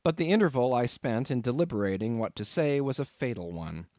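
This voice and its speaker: A man's voice: mid-range voice, lively intonation, a little fast, warm tone, no room sound, studio quality. A man reading a literary novel aloud as scripted audiobook narration. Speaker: audiobook reader.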